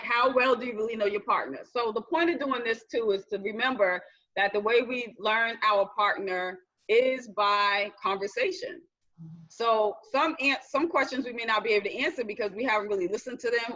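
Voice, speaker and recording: Bright-voiced woman host, lo-fi. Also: a little high. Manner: earnest, reflective, leads with questions. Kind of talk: guided discussion with couples.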